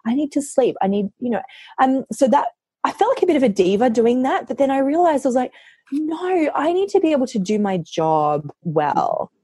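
A woman author, hoarse from losing her voice, chatting casually on a podcast and telling lively stories, often quoting herself. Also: high voice, normal speed, no room sound, studio quality. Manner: animated storytelling, quotes herself.

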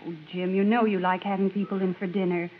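Actress as patient radio mom, tinny sound. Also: female voice, medium pitch, level tone, fast talker, natural voice. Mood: soothing. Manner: soothing, gently persuasive. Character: patient, quietly wry middle-aged mother and wife. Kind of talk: 1940s radio sitcom acting. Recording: tinny, noisy 1940s radio recording.

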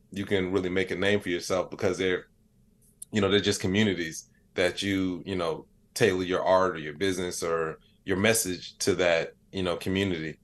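Deep-voiced man chatting casually in an interview. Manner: informal, reflective, frequent "like" and "you know". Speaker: podcast guest, comedian.

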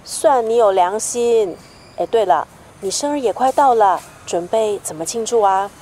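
A woman's voice: mid-range voice, expressive, moderate pace, darkish tone, slight background noise, close to an everyday voice. A woman playing a chatty, teasing, pushy best friend in a Mandarin radio drama. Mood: playful. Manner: teasing, exclamatory.

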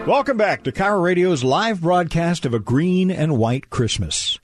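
A man's voice: fairly high pitch, expressive, normal speed, loud music behind the voice. A man announcing and narrating a radio play in a scripted 1950s broadcast style.

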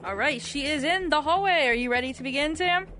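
A woman's voice: high-pitched, brisk. A woman reading trivia questions as a quiz host on morning radio, in a studio with music underneath. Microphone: studio radio microphone.

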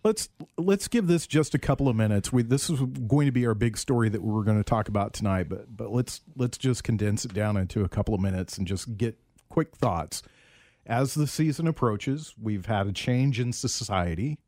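A man's voice: on the low side, moderate pace, mellow, no room sound, clean studio sound. A man hosting a casual sports talk show, earnestly steering the discussion and giving his own views. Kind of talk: casual sports radio chat.

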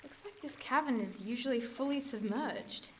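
Young amateur sleuth: high-pitched, inquisitive, earnest, oddball, audio drama lead. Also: female voice, moderate pace, fairly bright tone, a little hiss or hum. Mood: fascinated. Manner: earnest, matter-of-fact, quirky. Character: curious, earnest, quirky young woman investigator. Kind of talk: naturalistic audio drama dialogue.

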